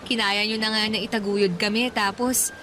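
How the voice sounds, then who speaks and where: An actress playing a devoted young daughter, earnest and caring in a radio drama. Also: female voice, medium pitch, steady tone, normal speed, over music, close to an everyday voice. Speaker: voice actor.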